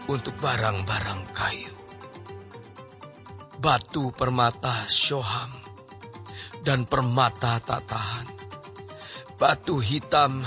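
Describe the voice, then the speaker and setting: Slow, stately old king. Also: medium pitch. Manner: declamatory, reverent, deliberate. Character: aged King David, solemn, devout, stately ruler. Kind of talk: audio drama Bible acting. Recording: slightly dull audio under clear music.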